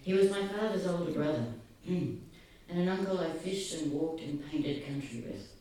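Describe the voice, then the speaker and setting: A soft-spoken man giving an earnest, halting public talk, often pausing. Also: on the high side. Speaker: speaker at a gathering. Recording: very echoey, distant, faint ambience.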